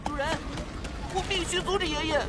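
An actor voicing a small, gentle and loyal monster companion in a radio drama, speaking earnestly and politely to a master. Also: very high voice, fairly even intonation, fairly quick, a crowd in the background, noisy background. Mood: sad, resolute. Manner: earnest, polite servant-like address. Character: small gentle loyal monster pet, soft-spoken and devoted. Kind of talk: Chinese radio drama acting. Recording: music behind the voice.

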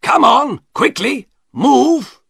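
A shifty showman of a shopkeeper, acted out by a male narrator, raised well above a normal talking pitch and swinging widely. He is boastful and servile to his betters, bossy to those below him, in a close, dry storybook recording. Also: huge pitch swings, slow, a bit bright, slightly rough, no room sound, exaggerated voice. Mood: angry, barking. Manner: obsequious, boastful, repeats himself. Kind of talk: audiobook character voice.

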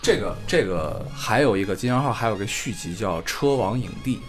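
A man's voice: lowish voice, expressive, a little fast, soft background music. A man in his thirties, a podcast co-host, chatting casually and rambling with lots of fillers and restarts. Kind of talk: casual chat.

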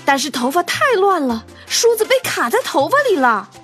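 Female narrator for children's audio drama: highish voice, lively, expressive storytelling. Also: moderate pace, soft background music. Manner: animated storytelling.

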